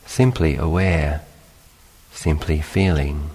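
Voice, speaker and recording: A man guides a meditation in a very deep, bass voice. His delivery is slow, calm and instructional, leaving long pauses between short phrases. The sound carries a long reverb, as in a large hall. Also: breathy edge. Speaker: meditation teacher, monk.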